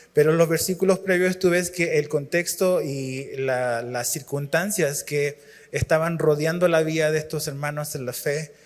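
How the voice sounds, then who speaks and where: A man from Chile, preaching as a guest and reading scripture in a relaxed, halting way full of fillers and restarts. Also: fairly high pitch, long reverb tail.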